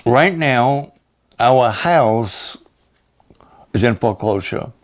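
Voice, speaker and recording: This is a raspy, hoarse older man speaking at a very slow, unhurried pace. His pitch sits in a middle range. He is a pastor giving a plain personal update with halting pauses and repeated phrases. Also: expressive. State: hoarse after illness and a long program.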